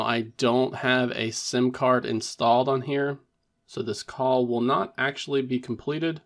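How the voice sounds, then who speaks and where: A man presenting a tech tutorial in a methodical, step-by-step instructional narration. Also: mid-range voice.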